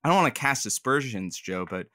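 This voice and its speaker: A man's voice: medium pitch, expressive, a little fast, background noise, close to an everyday voice. A man playing a dry, snide radio co-host in a comic audio drama, introducing callers in a folksy way.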